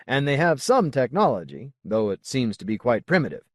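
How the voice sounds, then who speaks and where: A man voicing a solemn, zealous colony leader in an audiobook, speaking in a formal, deliberate, oratorical way. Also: medium pitch, very animated, no room sound, clean studio sound, pitched above the performer's own voice. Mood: explanatory, firm. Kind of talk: audiobook character dialogue.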